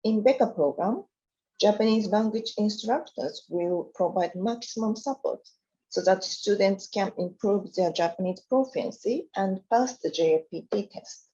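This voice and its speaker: A woman's voice: mid-range voice, moderate pace, dark tone. A woman giving a scripted university presentation, speaking carefully and formally as she reads through her slides. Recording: dull lo-fi sound.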